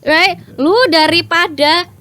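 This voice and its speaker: A woman's voice: extremely high pitch, unhurried, a little hiss or hum. Brash, swearing woman chatting on a podcast. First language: English. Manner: brash, swears freely, animated. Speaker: podcast guest, content creator. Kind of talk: casual podcast chat mixing English and Indonesian.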